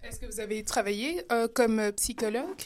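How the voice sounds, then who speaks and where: A woman interviewer asking questions, pausing often with fillers. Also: a little high, lively intonation. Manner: hesitant, many fillers.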